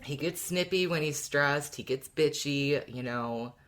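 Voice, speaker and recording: A woman with an extremely low-pitched voice co-hosts a fan discussion podcast. Her delivery is informal and conversational, like unscripted chat between friends. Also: a little slow. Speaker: podcast co-host.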